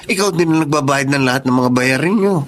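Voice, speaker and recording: A man's voice: medium pitch, quick pace, close to an everyday voice. A man playing a concerned young sweetheart in a Tagalog radio drama, speaking earnestly. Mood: concerned, insistent.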